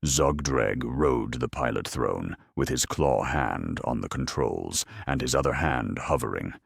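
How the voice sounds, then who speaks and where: A man reading a scripted dramatic story in a measured, deadpan manner with dry humour. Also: rumbling low, vocal fry, slightly rough, dry recording. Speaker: narrator.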